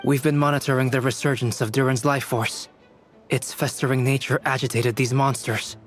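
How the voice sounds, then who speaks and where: Man as a calm scholarly knight, measured formal delivery, mid-pitched, game dialogue. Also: soft background music, natural voice. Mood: calm explaining. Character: composed, analytical young male alchemist knight. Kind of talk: video game voice acting.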